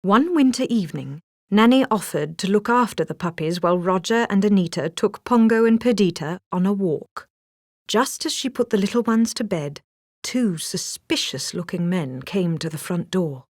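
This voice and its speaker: A woman's voice: mid-range voice, lively intonation, moderate pace, a little mellow, no echo, studio quality. A woman reading a scripted children's story as a storybook narrator.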